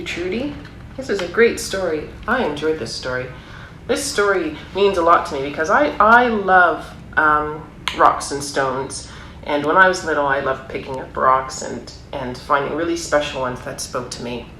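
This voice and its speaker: A woman with a slightly low voice reads a story aloud for young children. She speaks in an encouraging way, mixing the book's text with chatty explanations and questions. The recording is echoey, with noticeable background noise. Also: a little slow, sharp tone. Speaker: storyteller reading a children's picture book aloud. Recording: roomy echo, clear background noise.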